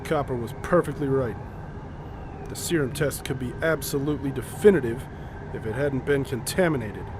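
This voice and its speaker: Commanding expedition leader, played by a man, rumbling background. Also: noisy background, close to an everyday voice. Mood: grim, reasoning. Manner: deliberate, explanatory, authoritative. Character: commanding, methodical male leader of a polar research expedition. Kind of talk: audio drama acting.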